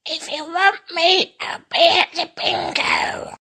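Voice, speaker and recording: A woman's voice: very high-pitched, wildly swooping pitch, takes it slowly, bright, forceful tone, full character voice. A woman voicing a chirpy, chatty everyday woman in a character sample. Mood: plaintive.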